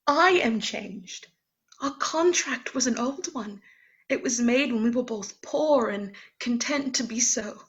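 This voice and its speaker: An actress playing a ghostly guide who is also a young woman named Belle, speaking in a calm, measured, gently reproachful way in a radio play. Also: fairly high pitch, lo-fi sound. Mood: sorrowful.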